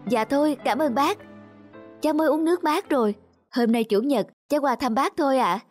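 Woman voicing a high-pitched, polite young man. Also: fairly quick, darkish tone, close to an everyday voice. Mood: friendly. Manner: polite, deferential. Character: polite, respectful young man visiting an older uncle. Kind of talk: cartoon dubbing. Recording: music behind the voice.

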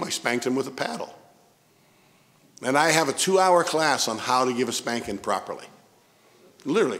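A man's voice: medium pitch, a bit bright. An older man with a Midwestern American accent, a pastor preaching a sermon in a church sanctuary on a pulpit microphone, telling stories with emphatic asides.

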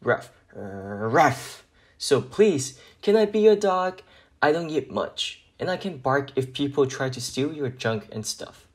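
A man voicing an eager, hopeful homeless puppy in a children's picture book, pleading and expressive. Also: medium pitch, takes it slowly. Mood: forlorn, pleading.

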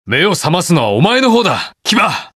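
An actor playing a stubborn, protective young masked hero in a video game, speaking curtly and brusquely. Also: male voice, sits high, sing-song, normal speed, a bit bright, dry recording, clean studio sound, exaggerated voice. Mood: defiant, fierce. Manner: curt, brusque, rough casual speech. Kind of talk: video game voice lines, battle quips.

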